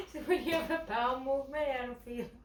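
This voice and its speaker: A woman's voice: sits high, noisy background. A woman making playful, teasing interjections in casual banter. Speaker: companion interjecting during the reading.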